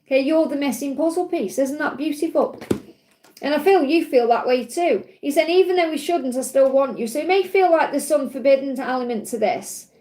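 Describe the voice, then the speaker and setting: Woman, Stoke-on-Trent accent, high-pitched, reassuring livestream tarot reader, frequent "okay?". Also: fairly quick, mellow. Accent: Stoke-on-Trent English. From England (Stoke-on-Trent). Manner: soothing, reassuring, with frequent "okay?" check-ins. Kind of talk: live card reading answering viewer questions.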